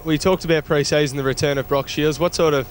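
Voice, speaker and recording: A man's voice: on the high side, fairly even intonation, fast talker, slight background noise. A man asking informal questions as a sports interviewer outdoors.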